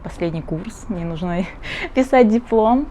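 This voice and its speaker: A woman of twenty-four from Voronezh, a final-year student and model, speaking in a relaxed way in a documentary interview. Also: mid-range voice, measured pace, a little hiss or hum. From Voronezh, Russia. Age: 20s.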